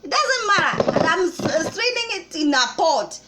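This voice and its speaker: Kenyan woman, bright and high, cooking vlog host, kitchen recording with audible noise. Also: very animated, moderate pace. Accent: Kenyan English. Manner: chatty, explains step by step with small restarts. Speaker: cooking vlogger. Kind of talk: casual cooking tutorial.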